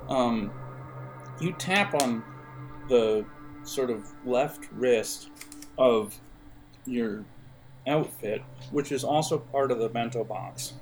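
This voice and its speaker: Guy game mastering an rpg, halting and chatty, lower-mid voice, music under him. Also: low-quality recording. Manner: halting, searching for words, conversational. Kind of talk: narrating an audio role-playing game.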